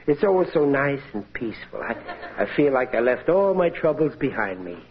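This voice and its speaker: An actor playing a hapless, blustering working-class husband in a 1940s radio comedy, halting and plaintive. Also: male voice, medium pitch, very animated. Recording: noisy muffled vintage radio recording.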